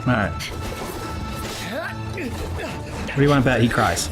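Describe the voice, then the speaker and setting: Low-voiced woman, blunt reaction commentary. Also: huge pitch swings, slow pace. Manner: blunt, slangy, mocking asides. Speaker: reaction channel host. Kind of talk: casual live reaction commentary. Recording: game music playing behind the voice.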